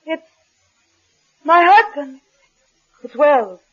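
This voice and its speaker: A woman's voice: high-pitched, sing-song, extremely slow, exaggerated voice. A woman, an actress playing a cool, secretive, scheming wife in 1950s radio suspense style. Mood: panicked hushing. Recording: muffled old radio broadcast.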